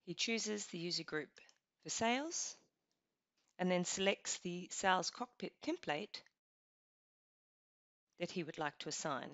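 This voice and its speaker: A woman narrating a scripted software walkthrough, explaining each step in an even, instructional way. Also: fairly low pitch, measured pace, pauses often, no echo. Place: voice-over over screen recording. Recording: dull lo-fi voice-over.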